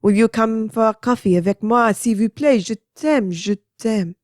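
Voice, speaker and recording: A woman's voice: a little high, darkish tone, no echo, studio quality, pitched above the performer's own voice, lightly acted. A woman doing a swooning French teacher. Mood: lovestruck. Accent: put-on French. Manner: slow, swooning, pleading. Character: lovestruck silly French man teacher.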